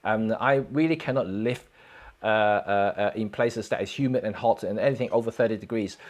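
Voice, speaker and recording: Chatty man. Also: fairly low pitch. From Hong Kong. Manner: chatty, halting with many fillers and restarts, chuckles often. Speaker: photography livestream host. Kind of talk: casual livestream chat reading viewer comments.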